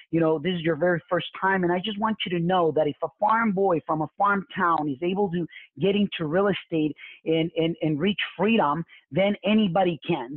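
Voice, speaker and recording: A man's voice: high voice, dry recording. Male webinar host, Mexican Spanish accent, motivational, stumbles and restarts a lot, very dry tinny line. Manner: repetitive restarts and stumbling fillers. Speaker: real estate webinar host. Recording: tinny phone-like webinar audio.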